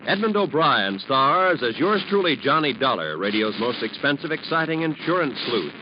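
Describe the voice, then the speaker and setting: A male announcer delivers an old-time radio promo with polished emphasis and big swings in pitch. His mid-range voice comes through a muffled vintage broadcast recording. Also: sing-song, normal speed, noisy background.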